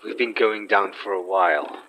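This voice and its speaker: A man playing a wry, protective boss of paranormal investigators in a horror audio drama, with a dry, measured delivery. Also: fairly low pitch, highs cut off, a little hiss or hum, natural voice. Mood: dry, teasing.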